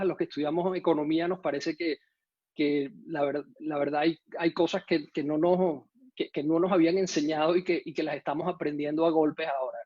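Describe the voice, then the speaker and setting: A man from Venezuela speaks Spanish with a Venezuelan accent touched by Mexican usage, giving a conference talk. His voice sits a bit high, and he often pauses on fillers and restarts his phrases. The sound is dry and somewhat muffled, like a video call. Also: darkish tone, dry recording. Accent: Venezuelan Spanish with some Mexican usage. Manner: hesitant, many fillers and restarts.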